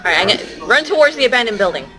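Brief: young woman, cheeky gamer, lively up-and-down delivery, music behind. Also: on the high side, rapid-fire. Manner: playful, cheeky. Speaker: player. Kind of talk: casual group gameplay chat. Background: game music.

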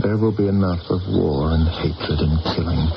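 Proud warrior orator, echoing hall. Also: male voice, low-pitched, normal speed, gravelly. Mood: tender, somber. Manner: theatrical, rhetorical. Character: passionate ancient British war leader, queen's lover, proud orator. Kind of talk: radio drama acting. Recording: dull, hissy old radio recording, echoey hall.

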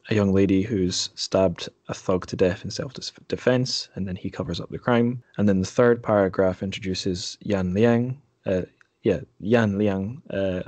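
A man hosting a conversational interview, speaking in a measured way and pausing to form his thoughts. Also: low-pitched, a little mellow, dry recording. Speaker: podcast host, interviewer. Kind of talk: conversational book discussion.

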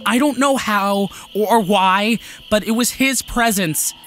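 A man's voice: high voice, unhurried, a bit bright, a little hiss or hum. A man playing an earnest, wistful young male satyr in an audio drama, talking in a rambling way. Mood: wistful, hopeful.

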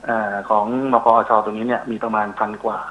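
A man's voice: fairly low pitch, monotone, brisk, muffled recording. A middle-aged man explaining as an expert guest in a phone interview, often searching for words. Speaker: interview guest, university researcher. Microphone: telephone call.

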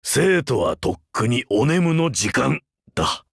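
A male actor playing a rugged older vampire hunter turned teacher in a game, wry, laconic and gruffly fatherly. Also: mid-range voice, sing-song, slow, no echo, studio quality, exaggerated voice. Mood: gently weary. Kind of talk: Japanese mobile game character voice lines.

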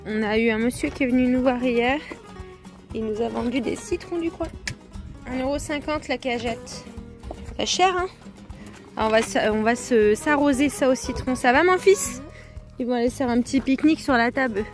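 A woman in her thirties keeps up a bright, cheerful running commentary on her travels. Her voice sits a little high, and she talks into a handheld action camera. Music plays clearly under her voice. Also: moderate pace. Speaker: vlogger. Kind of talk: casual travel vlog narration. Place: outdoors and inside a camper van.

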